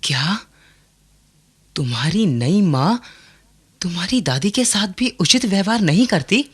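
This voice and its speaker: An actor playing a concerned, sensible young friend who questions and counsels in earnest, formal diction in a radio play. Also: male voice, sits high, lively intonation, a little slow, a bit bright, breathy edge, full character voice. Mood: puzzled, questioning. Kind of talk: Hindi radio play acting.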